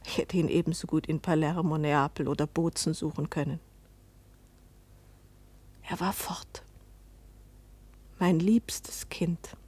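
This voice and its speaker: A woman's voice: low voice, steady tone, takes it slowly, long pauses, darkish tone, slightly rough, no room sound, heavily acted. An actress playing a middle-aged mother who tells her life story in a restrained, wistful, intimate way in a radio drama. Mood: wistful, subdued. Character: weary, hopeful Italian mother narrating her life's disappointments. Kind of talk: radio drama first-person narration and dialogue.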